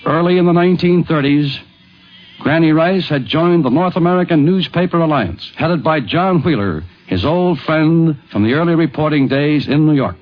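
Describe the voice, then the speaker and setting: A man's voice: on the high side, lively intonation, normal speed, faint music behind. Man narrating a dull-sounding 1950s radio tribute.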